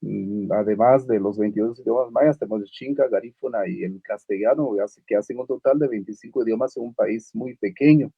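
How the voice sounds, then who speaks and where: A man from Guatemala whose first language is Uspanteko, speaking on a literary panel with many pauses, fillers and restarts. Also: mid-range voice, slightly rough, no room sound, dull audio. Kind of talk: unscripted panel talk.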